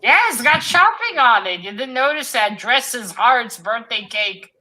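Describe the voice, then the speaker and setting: A woman with a bright, forward voice sitting a little high in pitch co-hosts a book stream. Her delivery is informal and conversational, with a joking streak. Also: animated, measured pace. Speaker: co-host of a literary read-along stream. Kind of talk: casual book discussion on a live stream.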